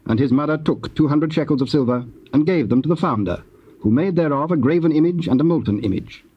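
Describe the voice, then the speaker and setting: Male narrator, measured scripture reading, mid-pitched and husky, muffled cassette sound. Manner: measured, formal. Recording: dull old cassette audio.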